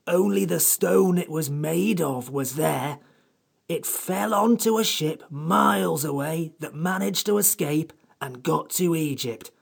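Creaky, raspy, sulky magical creature. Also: male voice, on the high side, pitched above the performer's own voice, full character voice. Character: sulky, bossy old magical sand creature.

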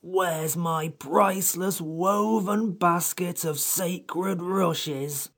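A cross, pompous old sand fairy creature in an audiobook. The voice is raised well above his own, gravelly and husky, and drags every word out slowly with withering scorn. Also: on the high side, voice pushed up, heavily acted. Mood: huffy. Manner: slow, withering, pompous drawl. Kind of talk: audiobook character voice.

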